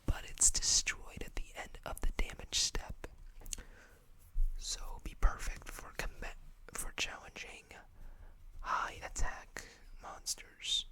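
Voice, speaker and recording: An ASMR host whispering in an unhurried way, reading text aloud and adding small asides. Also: sharp tone. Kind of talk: whispered ASMR card showcase.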